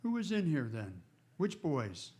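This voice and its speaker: An actor playing a stern, laconic frontier marshal in a western radio drama, speaking in terse, folksy, matter-of-fact lines. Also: male voice, fairly high pitch, huge pitch swings, a little slow, darkish tone. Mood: stern, probing.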